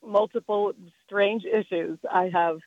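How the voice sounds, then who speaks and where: A woman calling in to a radio show, hoarse and hesitant, with many pauses. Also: mid-range voice, steady tone, dry recording, lo-fi sound. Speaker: caller.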